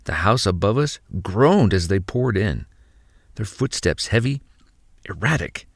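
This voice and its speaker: An actor playing a desperate husband, narrating a survival horror audio drama with intense, halting suspense. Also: male voice, on the low side, lively intonation, vocal fry, no echo, clean studio sound, exaggerated voice. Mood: grim suspense. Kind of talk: dramatic first-person horror narration and dialogue. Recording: music under the voice. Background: music.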